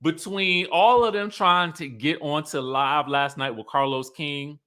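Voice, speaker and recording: A man's voice: on the high side, a little slow, clean tone. A man hosting an online review show, chatting casually and emphatically to his viewers and often checking in with them. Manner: animated, conversational, emphatic, often checking in with listeners. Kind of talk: casual livestream commentary to viewers.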